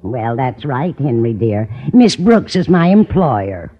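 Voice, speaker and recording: A woman's voice: a bass voice, big pitch swings, husky, exaggerated voice. An actress playing a sweet, dithery older landlady posing as a maid in a 1950s American radio sitcom. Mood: demure. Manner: slow, fluttery, sweet. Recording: dull old radio recording, audience behind.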